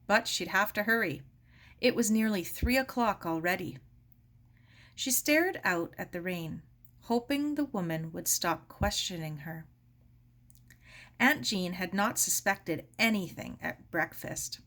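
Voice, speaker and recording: Unhurried, expressive woman storybook reader. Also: mid-range voice. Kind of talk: expressive scripted narration for children.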